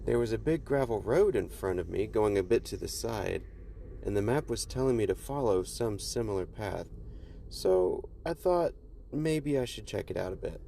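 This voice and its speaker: A man hosting a podcast, reading creepy first-person stories aloud in a measured, deliberate narration. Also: on the low side, lively intonation, vocal fry, warm tone, slight background noise. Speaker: podcast host and reader. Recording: music under the voice. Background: background music.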